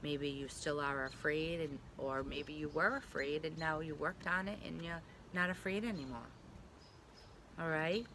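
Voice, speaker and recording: Bright-voiced woman teacher reading to young children. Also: low-pitched, unhurried, a little hiss or hum. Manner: simple, child-directed talk with check-in questions. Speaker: pre-K teacher. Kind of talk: read-aloud of a children's picture book with asides to the class.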